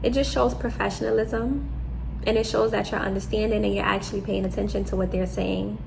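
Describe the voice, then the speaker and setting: A woman's voice: mid-range voice, steady tone, brisk, gravelly, noisy background. A woman from New York City with a New York accent, giving frank, chatty job interview advice to her viewers. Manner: direct, chatty, repeats "okay?" to drive points home. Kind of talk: casual advice talk to camera.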